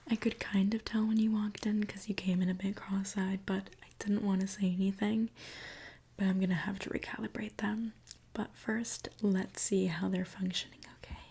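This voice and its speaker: A woman softly whispering an ASMR roleplay as a mechanic, gently explaining each step to the listener. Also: medium pitch, little pitch movement, creaky, breathy edge. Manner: soft, gentle whispering, unhurried step-by-step narration.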